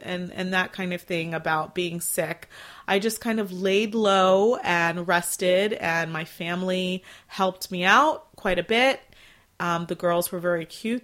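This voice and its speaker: A woman in her forties hosting a casual solo podcast from home, chatty and rambling, her voice still a little scratchy and clearing her throat after being sick. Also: fairly low pitch. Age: 40s. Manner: chatty, rambling, with fillers and soft laughs. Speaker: podcast host.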